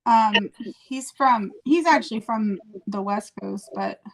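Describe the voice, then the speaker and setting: A woman's voice: medium pitch, slow, creaky, low-quality recording. A woman chatting casually, friendly and easily amused. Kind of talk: casual chat.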